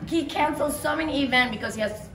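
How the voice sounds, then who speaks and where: A woman hosting a gala event, praising and thanking a guest in a lively way. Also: sits high, fast talker. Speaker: event host. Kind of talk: emcee banter. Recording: echoey hall, distant, crowd and music.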